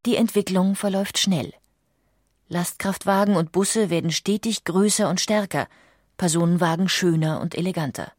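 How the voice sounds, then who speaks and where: A woman reading scripted documentary narration in a measured, articulate way. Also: lowish voice, a little mellow, no echo, clean studio sound.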